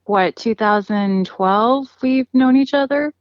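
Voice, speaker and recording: A woman in her thirties who talks in a chatty, rambling way and leans on "like" again and again. Her voice is mid-pitched and sounds dry. The recording is slightly dull. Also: takes it slowly, no echo. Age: 30s. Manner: chatty, lots of "like" and laughter. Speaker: guest, friend of the boxer. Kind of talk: casual interview.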